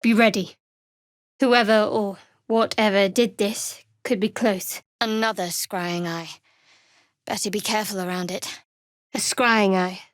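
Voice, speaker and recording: A woman's voice: mid-range voice, steady tone, unhurried, dry recording, lightly acted. An actress voicing a guarded, sardonic young female cleric in a video game, with a dry, wry manner. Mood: wary. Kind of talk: video game character voice acting.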